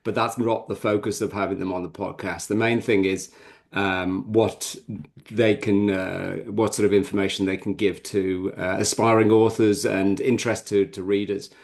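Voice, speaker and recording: Male podcast co-host and author, lower register, conversational and meandering, candid with a dry joking edge. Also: little pitch movement, normal speed, vocal fry, a little mellow. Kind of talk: casual interview talk.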